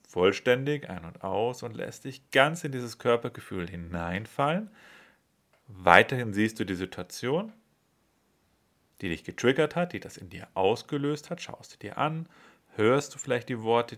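Calm man guiding a meditation. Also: on the low side. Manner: slow, calm, soothing instructions. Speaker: meditation guide. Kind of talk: guided meditation.

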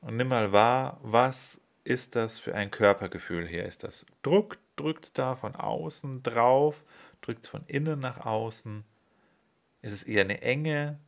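A man leading a guided body-awareness meditation in a slow, calm, soothing way. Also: mid-range voice, creaky.

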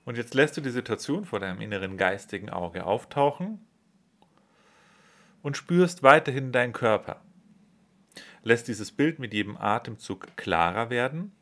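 A man leading a guided body-awareness meditation in a slow, calm, soothing way. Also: medium pitch.

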